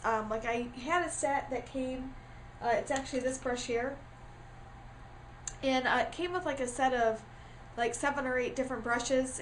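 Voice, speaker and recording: A woman's voice: high-pitched, a bit bright, slight background noise. A woman with a stuffy, congested voice from a starting cold, giving a casual craft tutorial, chatty and full of ums. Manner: chatty with frequent ums and uhs. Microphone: camera mic.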